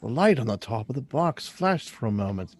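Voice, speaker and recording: A man's voice: medium pitch, sing-song, brisk, a little mellow. A man reading the part of an observant, insistent schoolboy in a radio play, with an earnest manner. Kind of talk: live radio drama script reading.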